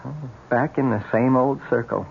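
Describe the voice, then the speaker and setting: A jealous, wounded husband acted by a man in a 1970s radio play. He delivers his words with pointed sarcasm and self-pity in a medium-pitched voice. The sound is thin and boxy, with a light hiss. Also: steady tone, normal speed, gravelly, close to an everyday voice. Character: bitter, self-pitying lame husband, jealous and sardonic. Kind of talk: 1970s radio drama acting. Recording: tinny, noisy 1970s radio broadcast.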